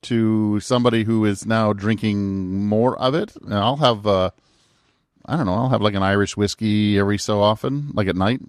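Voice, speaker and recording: A man's voice: on the low side, moderate pace, smooth, no room sound, clean studio sound. A man co-hosting a podcast in casual chat, teasing and asking pointed questions. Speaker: podcast co-host.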